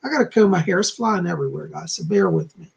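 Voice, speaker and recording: A man with a hoarse, slightly raspy voice, chatting casually from home as a psychic hosting his live stream and greeting viewers effusively. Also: sits high, animated, quick pace, dull audio, noisy background. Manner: chatty, effusive, frequent "okay?" and "you know".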